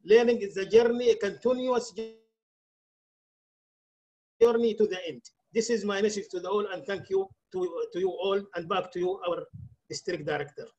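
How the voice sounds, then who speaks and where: A man whose first language is Arabic, giving a halting speech as a club director at an online meeting, often restarting his words. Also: high voice, darkish tone, dull audio. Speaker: club program quality director.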